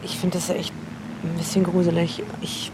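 A woman's voice: on the low side, completely flat delivery, normal speed, lightly acted. Woman acting a blunt young actress, faint noise behind. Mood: incredulous, uneasy. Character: incredulous young actress confronted by her ex. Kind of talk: naturalistic, improvised-sounding radio drama.

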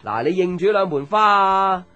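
Music plays faintly under a playful young friend in a radio drama, speaking Hong Kong Cantonese in a rather high voice. He teases and jokes in an animated, conversational way, with a caring streak. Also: moderate pace, clean tone, lo-fi sound. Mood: playful, mischievous. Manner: teasing, joking, animated with exclamations.

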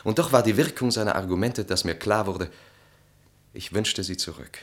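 Actor as a flustered husband, roomy low voice. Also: male voice, normal speed, close to an everyday voice. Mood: troubled, earnest. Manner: hesitant, flustered. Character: harried, evasive husband, flustered and weary. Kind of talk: German radio drama acting. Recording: roomy studio radio play sound.

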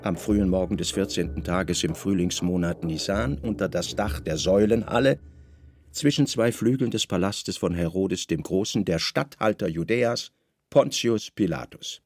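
An actor playing a solemn narrator of a biblical novel in a radio play. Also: male voice, low-pitched, normal speed, a little mellow, no echo. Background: music.

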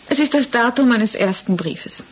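A woman's voice: on the high side, lively intonation, normal speed. An actress playing a self-possessed widow under questioning in a 1960s German radio play, composed, direct and defiantly devoted to her lover.

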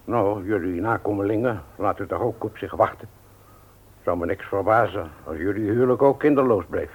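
A man's voice: lowish voice, husky. A man acting in a radio play as a stubborn, formal widowed uncle in his seventies who runs the family business. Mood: grudging, tactless. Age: older. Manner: formal, measured, unyielding. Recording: tinny, narrow-band old radio recording.

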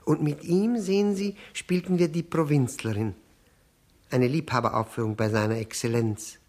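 An actor playing an odd, self-abasing middle-aged husband in a 1960s German radio drama, ingratiating and halting, repeating his phrases. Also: fairly high pitch, fairly even intonation, a little mellow, lightly acted. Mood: nostalgic, wounded.

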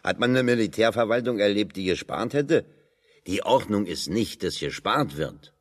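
A man's voice: on the low side, lively intonation, moderate pace. An actor playing a sardonic, dry-witted working man in German radio drama dialogue, speaking colloquially and ironically. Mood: dryly ironic.

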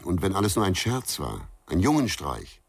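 Low-voiced weary former policeman. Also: wildly swooping pitch, normal speed, breathy edge, heavily acted. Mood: terse, dogged. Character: weary, dogged former policeman searching for a boy. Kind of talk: radio crime drama dialogue.

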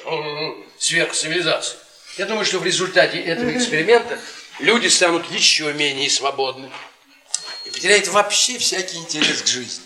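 An actor playing a bitter, sardonic middle-aged history professor, delivering ironic, halting rhetoric in a theatrical radio play. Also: male voice, high-pitched, pushed and bright, exaggerated voice. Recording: roomy stage sound with audience noise.

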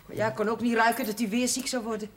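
A woman's voice: a little high, little pitch movement, quick pace, a little hiss or hum, lightly acted. An actress playing a dry, motherly former housekeeper. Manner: dry, teasing, plainspoken. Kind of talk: 1970s TV drama acting.

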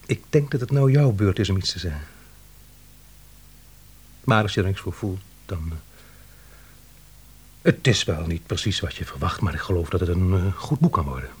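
An actor playing a bumbling, earnest male scholar in a Dutch radio drama, husky, hesitant, wordy and apologetic. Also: sits low, animated, normal speed, long pauses, heavily acted. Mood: hesitant, persuading.

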